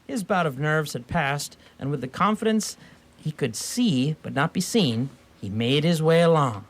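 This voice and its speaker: A man playing a wry storyteller, narrating a scripted radio theatre story in a measured way. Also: a little high.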